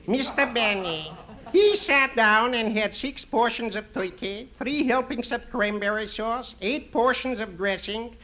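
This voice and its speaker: A man's voice: very high-pitched, a little slow, bright, forceful tone, heavily acted, poor audio. A man playing a long-suffering, wry married man, singsong, in 1950s radio comedy. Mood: wry, exasperated. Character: long-suffering, wryly sarcastic married man, comic neighbour. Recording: old radio recording with faint noise.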